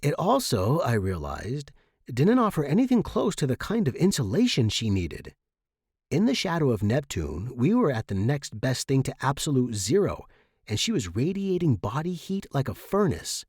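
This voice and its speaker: A male narrator reads scripted first-person fiction. His delivery is expressive and measured, with lively intonation around a medium pitch. The recording is dry, with almost no room sound. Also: husky, no room sound. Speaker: audiobook narrator.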